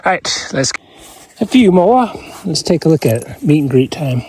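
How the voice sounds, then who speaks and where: A man vlogging casually outdoors, showing finds in short, laid-back muttered fragments with a gravelly, husky voice. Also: a little high, big pitch swings, normal speed, darkish tone. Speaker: rockhounding vlogger. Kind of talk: casual outdoor show-and-tell. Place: outdoors on open hilly ground.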